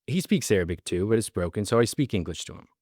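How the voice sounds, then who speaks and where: A man's voice: on the low side, animated, quick pace, darkish tone, husky, no echo, studio quality. A man giving an earnest personal testimony in a monologue, repeating phrases and drawing out key words for emphasis. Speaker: storyteller recounting his life.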